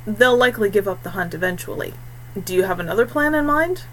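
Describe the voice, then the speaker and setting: Woman reading as calm, reflective young man. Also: mid-range voice, slight background noise, close to an everyday voice, low-quality recording. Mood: calm reasoning. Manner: calm, measured.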